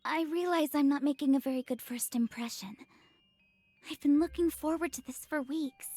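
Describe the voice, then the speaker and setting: A woman's voice: high-pitched, normal speed, warm tone, no echo, lightly acted. A woman voicing a timid, polite teenage girl in a visual novel, hesitant and apologetic in her delivery. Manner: hesitant, meek. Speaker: voice actor. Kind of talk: visual novel character acting.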